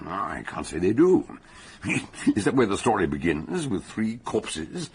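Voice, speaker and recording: An actor playing an erudite, genially pompous doctor sleuth in a radio mystery, with a deliberate manner. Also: male voice, deep voice, sing-song, darkish tone, husky, heavily acted. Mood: wryly curious. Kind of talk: radio mystery drama acting.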